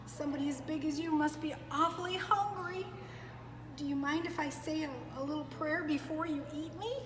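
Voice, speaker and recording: Woman as a tiny high-pitched bush baby. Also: steady tone, normal speed, lightly acted. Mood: timid. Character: tiny female bush baby, cute, timid yet wise. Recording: echoey reverberant room, clear background noise.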